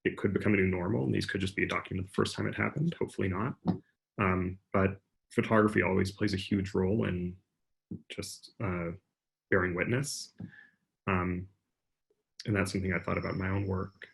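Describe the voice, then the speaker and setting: A man's voice: deep voice, steady tone, a little fast, creaky, long pauses, low-quality recording. A man speaking as an artist on an online panel, reflecting aloud in a conversational way. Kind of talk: reflective panel discussion talk. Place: online video panel during lockdown.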